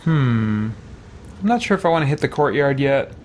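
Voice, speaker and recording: A man's voice: medium pitch, big pitch swings, moderate pace, darkish tone, slight background noise. Man thinking aloud over a video game. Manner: hesitant, thinking aloud to himself. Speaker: gaming host playing and commenting on a role-playing game. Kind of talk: casual unscripted let's-play commentary.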